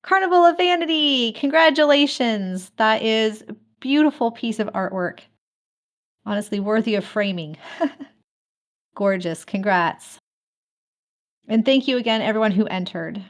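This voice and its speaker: A woman's voice: on the high side, expressive, unhurried, long pauses. A woman hosting a fan event, announcing casually in a chatty way and laughing often. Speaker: host of an online fan event.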